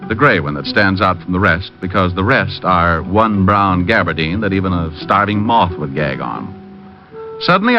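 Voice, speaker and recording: An actor playing an unflappable private detective in an old radio show, wry and deadpan. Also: male voice, on the low side. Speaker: lead and narrator. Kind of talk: 1940s radio detective narration and acting. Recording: muffled old radio recording. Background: music.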